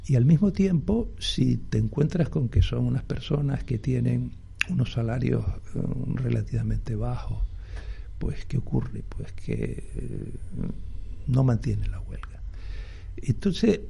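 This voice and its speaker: A gravelly, husky older man. He is a doctor talking on a radio interview, and he starts over often and pads his sentences with fillers. His voice sits dark and mellow. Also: mid-range voice. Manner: halting, many restarts and fillers. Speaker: doctor and health advocacy guest. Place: radio studio.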